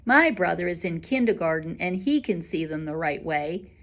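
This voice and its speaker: A woman voicing a snooty, scornful schoolgirl bully with a sharp, disdainful manner in a storybook reading. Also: lowish voice, expressive, moderate pace.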